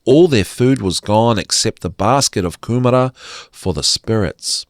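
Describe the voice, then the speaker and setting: Slow, very animated low-voiced male storyteller. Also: dry recording, studio quality. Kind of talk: expressive scripted fairy-tale narration.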